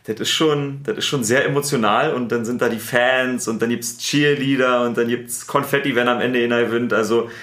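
A man with a Berlin accent, a sports TV presenter and editor, answering interview questions in a relaxed, chatty way with many fillers. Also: medium pitch, roomy sound. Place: studio.

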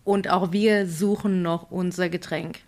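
A woman's voice: lowish voice, steady tone, a little slow. Upbeat Hessian-accented woman podcaster. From Frankfurt, Germany. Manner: upbeat, wraps up and leads segments. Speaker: podcast co-host. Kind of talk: casual chat. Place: home in Munich.

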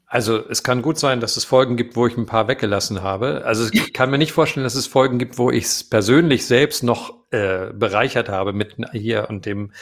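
A man's voice: mid-range voice, fairly quick, few pauses. A man in his fifties, a voice actor as an interview guest, answering at length with many hesitations and fillers.

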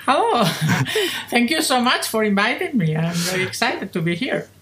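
A woman's voice: a little high, very animated, normal speed, fairly bright tone. A middle-aged woman with a Spanish accent, answering as an academic interview guest in a thoughtful, earnest, conversational way. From Spain. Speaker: guest, professor of philosophy.

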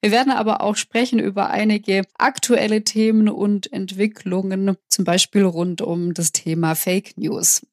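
Woman interview host, formal and polite, mid pitch, dry studio sound. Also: no echo. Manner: polite, formal, introduces guest and topics. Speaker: podcast interviewer. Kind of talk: structured interview hosting.

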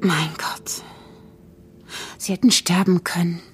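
An actress playing a sympathetic, shaken woman in an audio drama, breathy, halting and gentle. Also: lowish voice, flat delivery, heavily acted. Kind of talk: naturalistic audio drama acting.

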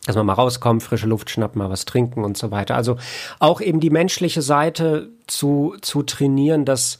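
A man talking casually as an interview guest, explaining his work in a reflective, halting way with repeated words. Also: mid-range voice, fairly even intonation. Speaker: podcast guest, audiobook editor and audio drama producer.

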